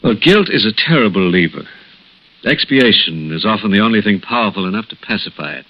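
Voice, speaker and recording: Low-pitched American doctor, solemn and hesitant, vintage radio play on a dull lo-fi recording. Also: male voice, expressive, brisk. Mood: solemn, foreboding. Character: grave, hesitant male hospital doctor breaking bad news gently. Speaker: radio actor. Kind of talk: 1960s radio drama acting.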